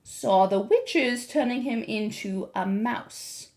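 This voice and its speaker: A woman voicing a firm, affectionate old grandmother in a storybook, speaking in a measured, emphatic way. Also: fairly high pitch, a little mellow. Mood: firm, patient.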